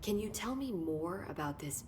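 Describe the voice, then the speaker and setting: An actress playing a curious, analytical government researcher in a video game, inquisitive and articulate. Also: female voice, on the low side, expressive, normal speed, background noise, close to an everyday voice. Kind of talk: video game cutscene acting.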